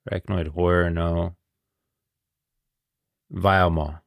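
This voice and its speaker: A man's voice: sits low, steady tone, takes it slowly, vocal fry, no room sound, clean studio sound. A man giving casual live stream commentary on game lore, musing and thinking aloud.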